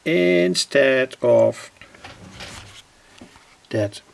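A man from the Netherlands with a Dutch accent, explaining electronics informally in halting, deliberate phrases. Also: mid-range voice, expressive. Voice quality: gravelly, husky. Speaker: electronics hobbyist, vlogger. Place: indoors at a home electronics workbench.

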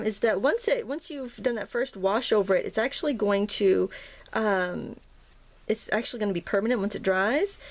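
A woman teaching a watercolor painting tutorial in a chatty, casual way, with many ums and restarts and a slightly hoarse morning throat. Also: mid-range voice. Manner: chatty, self-interrupting, frequent ums and restarts. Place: indoors at a painting table.

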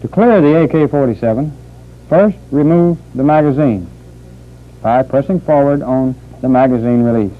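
A man working as a military instructor, narrating a training film in a formal, deliberate, measured manner. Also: mid-range voice. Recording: muffled phone-like old training film soundtrack.

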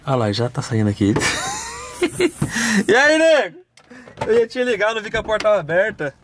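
A man in his thirties, a car vlogger, chatting in fast slangy banter outdoors while filming with a handheld camera. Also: sits high, huge pitch swings, background noise. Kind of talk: casual vlog chat with friends. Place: outdoors and garage, street.

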